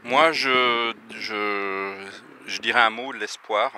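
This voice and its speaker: A middle-aged man with a deep yet very forceful, bright voice. He answers as an interview guest, halting often as he searches for words. There is faint ambient noise behind him. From Belgium, the Netherlands and France. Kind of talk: unscripted personal testimony.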